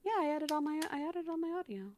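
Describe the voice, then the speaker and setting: A woman's voice: sits high, expressive, fast, a little mellow. A woman voice actor chatting casually as a guest on a stream, emphatic and prone to repeating herself. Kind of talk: casual chat.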